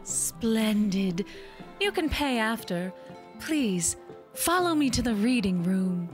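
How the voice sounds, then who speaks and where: A woman's voice: a little high, very animated, takes it slowly, faint music behind. A woman playing a poised, uncanny tarot reader in a horror audio drama, speaking in a formal, theatrical way. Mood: gracious, smooth. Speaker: actor.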